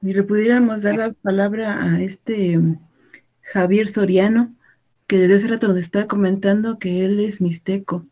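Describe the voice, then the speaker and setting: A woman's voice: mid-range voice, fairly even intonation, a little slow. A woman moderating a panel discussion, passing the floor briefly and welcomingly. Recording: muffled lo-fi sound.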